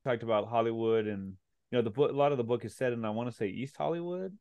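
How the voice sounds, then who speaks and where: A man's voice: mid-range voice, quick pace, smooth, no echo, highs cut off. A man hosting a podcast, asking rambling, searching questions full of fillers. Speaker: podcast host. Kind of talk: casual interview conversation.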